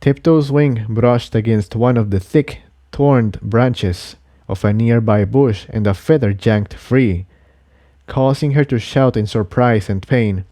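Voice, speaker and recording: Male storyteller for adventure fiction: unhurried, relaxed, measured delivery, close dry sound. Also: mid-range voice, no echo. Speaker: audiobook reader of fan fiction. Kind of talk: scripted story narration.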